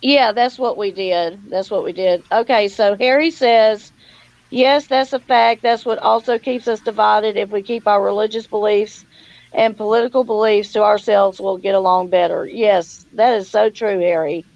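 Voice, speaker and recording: A woman's voice: medium pitch, steady tone, moderate pace, darkish tone, no room sound, lo-fi sound. A woman hosting a casual livestream chat outdoors on her phone, reading viewer comments and talking in a folksy way, calling people honey. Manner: folksy, calls listeners honey. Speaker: livestream host.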